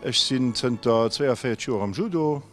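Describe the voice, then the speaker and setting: A man, a judo coach explaining the sport in an interview, often pausing with fillers as he searches for words. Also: mid-range voice, soft background music. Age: 50s. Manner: hesitant, pauses with fillers while explaining.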